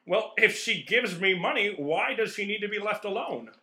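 A man plays a brash young stage comedian hungry for fame in a radio comedy. His pitch is high and leaps around, with cocky, teasing delivery and an audible room echo. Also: lively intonation, moderate pace, bright, forceful tone. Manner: cocky, punning stage patter. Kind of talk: old-time radio comedy acting. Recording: roomy, echoing recording.

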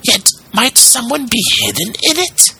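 A man voicing an excitable old carpenter in a storybook, muttering to himself in an exaggerated, easily frightened way. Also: very high-pitched, unhurried, creaky, slight background noise, pitched above the performer's own voice, heavily acted. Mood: frightened, puzzled. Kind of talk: storybook character voice.

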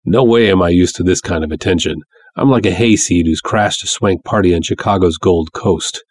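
A man's voice: low-pitched, normal speed, darkish tone, a little hiss or hum. A man giving a dramatic first-person narration of a story, as its narrator.

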